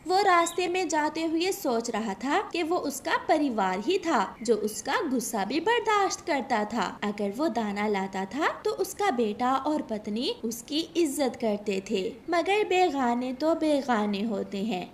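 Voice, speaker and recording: A woman's voice: high-pitched, big pitch swings, measured pace, hardly any pauses, slight background noise. A woman narrator reading a scripted cartoon story for children in Hindi.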